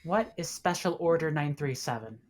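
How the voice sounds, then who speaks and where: A woman's voice: sits low, expressive, moderate pace, darkish tone. A woman reading the part of a tough, suspicious, decisive ship's officer in a script table read, firm and blunt. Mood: demanding.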